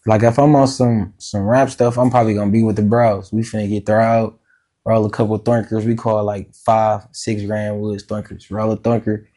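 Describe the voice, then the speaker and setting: A man with a raspy voice, a musician guest talking casually and slangily in a podcast interview, laughing often. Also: on the low side, little pitch movement, normal speed, mellow.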